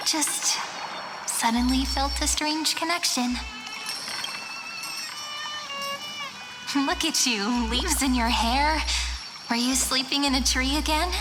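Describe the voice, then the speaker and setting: A playful young childhood friend from a fantasy game. Her high voice is gentle and coy, teasing at a leisurely pace. Music plays clearly behind her. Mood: gentle, wondering. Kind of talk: video game character dialogue.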